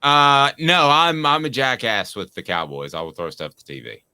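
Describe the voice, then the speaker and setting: A man's voice: medium pitch, normal speed, sharp tone, clean tone, no echo. A man in his forties hosting a sports talk show, telling rambling, self-mocking stories in a casual way. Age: 40s. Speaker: sports radio host.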